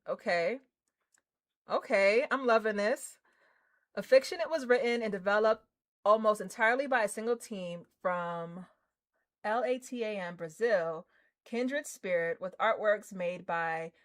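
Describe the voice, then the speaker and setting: A woman live-streaming a game, reading screen text and chatting to viewers in an excitable, slangy way. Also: mid-range voice. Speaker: streamer, host. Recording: music behind the voice. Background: game music.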